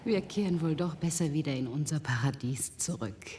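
An ambitious female computer scientist in a radio play, with a lowish, widely swinging voice. She presents her invention in a formal, theatrical way, sometimes dropping to a coaxing hush. There is clear background noise. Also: animated, normal speed. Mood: assured, instructive. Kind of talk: German radio drama acting.

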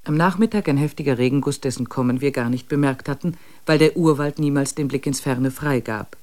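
A man narrating a wilderness expedition diary in a radio drama, measured and matter-of-fact. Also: mid-range voice, darkish tone.

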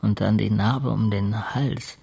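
Uneasy patient in radio drama, super creaky voice, slow and halting, like confessing something. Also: male voice, on the low side, fairly even intonation, warm tone, a little hiss or hum. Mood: uneasy, confiding. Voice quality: gravelly, creaky. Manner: halting, confiding. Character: unsettled, hesitant male transplant patient with a stranger's face. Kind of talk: German radio crime drama dialogue.

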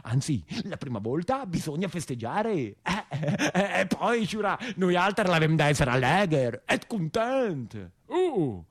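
A man's voice: on the high side, big pitch swings, measured pace, few pauses, no room sound, studio quality. An actor playing a bashful, clumsy young northern Italian man with a Lombard accent, stammering and apologetic in a theatrical monologue. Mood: rambling, pleading.

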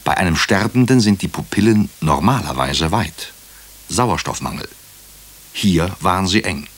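A doctor recounting a crime story for radio drama. His voice is extremely deep. He tells it soberly, in measured, literary sentences. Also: expressive, slightly rough, exaggerated voice. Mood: sober, observant. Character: male doctor narrating a crime story in first person, sober.

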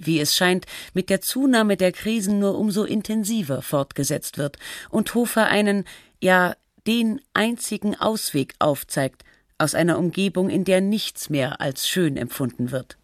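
Actress doing a female radio documentary narrator, formal n measured, analytical, medium pitch, very dry recording. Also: dry recording, close to an everyday voice. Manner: measured, formal, deliberate phrasing.

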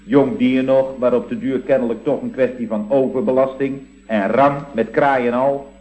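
An actor playing a deferential, droll employee in a radio comedy, explaining things in a deadpan, matter-of-fact way. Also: male voice, mid-range voice, normal speed, natural voice. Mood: droll, apologetic. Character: deferential, droll male employee explaining absurd building defects. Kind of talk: scripted radio comedy acting. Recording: dull old radio recording.

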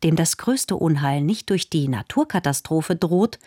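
A female radio announcer reads a scripted introduction. Her pitch sits a little low, in a very close, dry acoustic. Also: animated, unhurried, mellow, husky, dry recording.

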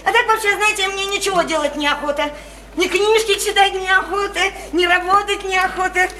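A woman's voice: very high-pitched, fairly even intonation, moderate pace, bright, forceful tone. An actress in a radio drama playing a naive, sickly, chatty small-town woman who rambles on in simple, insistent folksy speech. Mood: chatty, artless. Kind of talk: stage-style radio drama acting. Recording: roomy echo, faint noise.

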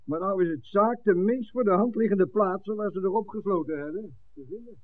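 An actor playing a level-headed, practical ally in a 1960s radio drama, reasoning in a matter-of-fact way. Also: male voice, high-pitched, a little fast, lightly acted. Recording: tinny, band-limited old radio recording.

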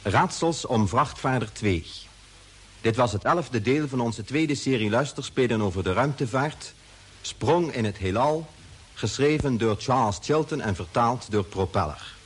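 A male announcer presents radio drama credits in a formal, scripted style. His pitch is average for a man and his voice is somewhat husky. He speaks at a very measured, slow pace. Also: animated.

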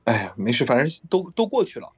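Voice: medium pitch, very animated, quick pace, highs cut off. A playful voice actor from Qingdao, China, chatting casually with castmates and laughing often. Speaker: voice actor in an audio drama. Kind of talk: casual group chat at an audio drama cast event.

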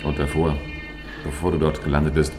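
An actor playing a pompous, blunt middle-aged publishing boss in a radio play. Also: male voice, very deep voice, flat delivery, brisk. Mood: probing. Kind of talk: German radio play dialogue. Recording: music behind the voice. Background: music.

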